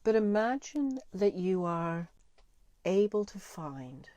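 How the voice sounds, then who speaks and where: A woman leading a guided meditation in a soothing, deliberate way, pausing between short phrases. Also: medium pitch, sing-song, mellow, no room sound, highs cut off. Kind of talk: guided meditation, gentle spoken visualisation.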